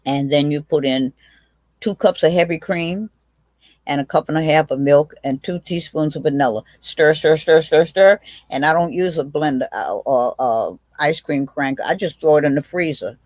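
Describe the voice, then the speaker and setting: A woman's voice: low-pitched, normal speed, darkish tone, no echo, clean studio sound. A woman, a witty, blunt guest telling stories in a casual video chat, often restarting her sentences. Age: 80s. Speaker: guest, aunt.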